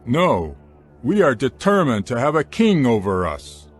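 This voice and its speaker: A man's voice: mid-range voice, huge pitch swings, measured pace, slightly rough, soft background music, heavily acted. An actor playing a stubborn, defiant man of ancient Israel in a dramatized scripture recording, speaking emphatically. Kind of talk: dramatized scripture audio acting.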